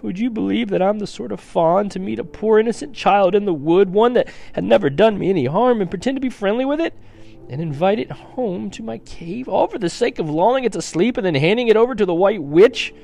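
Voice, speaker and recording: A man's voice: on the high side, expressive, a little slow, gravelly, a little mellow, pitched above the performer's own voice. A man voicing a gloomy, guilt-ridden fawn in a dramatic storybook reading, sobbing and wailing as he confesses. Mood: anguished confession. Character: weepy, guilt-ridden male fawn, gloomy and self-reproaching.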